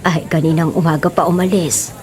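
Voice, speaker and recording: A woman's voice: sits low, steady tone, fairly quick, darkish tone, a little hiss or hum, lightly acted. A woman acting a friendly middle-aged auntie who sells street snacks in a radio drama.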